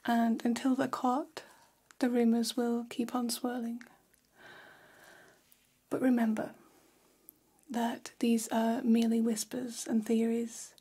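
Lady doing a gossipy vampire salon stylist for asmr, super breathy whisper, mellow and unhurried, flatters you. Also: fairly high pitch. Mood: gossipy, intrigued. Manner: slow, hushed, conspiratorial, indulgent. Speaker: ASMR role-play performer. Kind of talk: whispered ASMR role-play. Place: small decorated indoor room.

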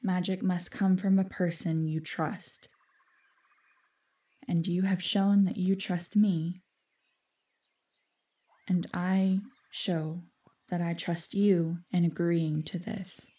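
A solemn forest guardian played by a woman speaks in measured, formal phrases that slip into breathy whispers. The voice is dark and mellow, slow, and a little distant from the mic. It suits a fantasy audio drama. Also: fairly low pitch, flat delivery.

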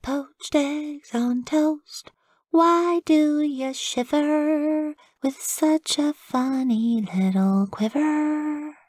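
A woman's voice: high-pitched, extremely slow, darkish tone, dry recording, clean studio sound, pitched above the performer's own voice. A woman voicing a young badger girl in a storybook, plaintive and stubborn, childlike and given to little songs. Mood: singing. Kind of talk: storybook character voice.

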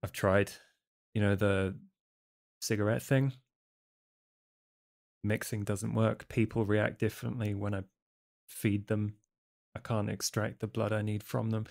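A man role-playing a troubled, uncertain male vampire in a tabletop game, speaking in a halting, hesitant way. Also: lowish voice, level tone, vocal fry, a little mellow. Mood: hesitant, confiding. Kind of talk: improvised tabletop role-play acting.

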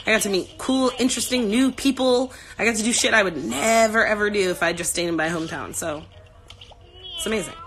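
A woman's voice: medium pitch, a little fast, fairly bright tone, low-quality recording. A woman in her thirties chatting candidly to fans on a phone livestream from her couch at home. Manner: chatty, candid, laughs often. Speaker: singer answering fans on a livestream. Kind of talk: casual livestream chat. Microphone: phone held at arm's length.

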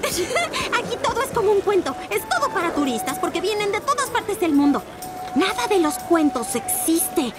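Excitable chatty cartoon girl, Latin American Spanish, very high, lively intonation. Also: normal speed. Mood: amused. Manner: bubbly, exclaiming. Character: excitable, chatty local girl, a young sorceress. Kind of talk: animated cartoon acting. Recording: music behind the voice.

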